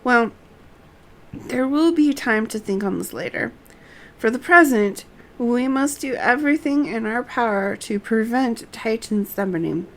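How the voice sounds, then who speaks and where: Woman playing earnest young male game scholar: formal, deliberate, slow, animated pitch, faint noise behind. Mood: earnest, pondering.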